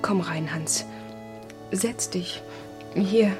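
An actress playing a self-assured, warm-hearted young typist in a German radio play, speaking directly and decisively. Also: female voice, medium pitch, extremely slow. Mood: surprised, tender. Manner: direct, decisive, clipped sentences. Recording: music behind the voice.

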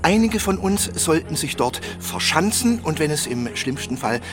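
Lively, flustered old scholar. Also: male voice, a little high, moderate pace, music in the background. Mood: earnest. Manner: flustered, chuckling, stammering, theatrical. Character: kindly, learned old male half-dwarf tutor. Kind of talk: German children's audio drama.

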